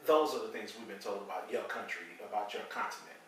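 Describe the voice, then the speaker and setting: A man's voice: on the low side, quick pace, a bit bright, slight background noise. A middle-aged man from St. Louis with an American accent, hosting a casual chat with lots of fillers as he tells stories. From St. Louis, Missouri, USA. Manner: conversational storytelling, frequent fillers. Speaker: channel host. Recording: echoey room, mic at a distance.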